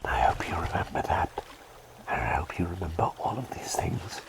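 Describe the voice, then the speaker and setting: A man playing a gentle, flustered plague doctor in a whispered ASMR roleplay, soothing his patient with halting, repeated reassurances close to the microphone. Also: sits low, sing-song. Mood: tender, reassuring.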